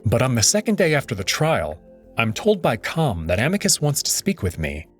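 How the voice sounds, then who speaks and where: A man hosting a let's play channel, reading a visual novel aloud with wry, expressive delivery. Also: medium pitch, moderate pace, slightly rough, faint music behind.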